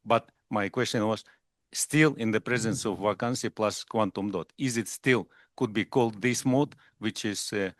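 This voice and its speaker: Low-voiced man pressing a question. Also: no echo, studio quality. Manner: halting, searching for words. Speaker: audience member asking a question.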